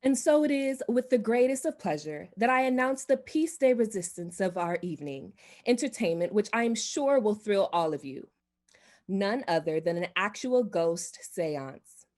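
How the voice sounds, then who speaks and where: A woman's voice: mid-range voice, animated, moderate pace, darkish tone, close to an everyday voice. A woman playing a gushing, self-important society hostess and would-be medium in a radio drama, speaking in a grand, theatrical manner. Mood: grand, commanding.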